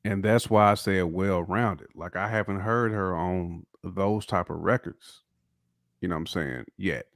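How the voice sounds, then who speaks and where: A man with a lowish voice talks loosely about music, hedging and restarting his thoughts. His sound is dry and close to the mic, with no room around it. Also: measured pace, no echo. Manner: laid-back, hedging with fillers and restarts. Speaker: podcast co-host. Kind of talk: casual opinion chat.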